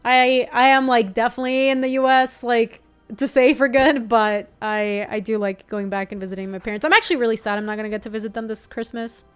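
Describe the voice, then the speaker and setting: A woman's voice: fairly high pitch, fairly even intonation, clear, resonant tone, soft background music. A young woman from Ecuador, a native Spanish speaker, chatting casually as she streams a card game and answers her chat. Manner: chatty, reads chat aloud, quick reactions and laughs. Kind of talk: casual live-stream gameplay commentary.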